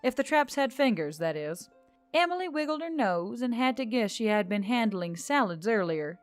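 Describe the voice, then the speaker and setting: A woman reading scripted fiction as an audiobook narrator. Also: medium pitch.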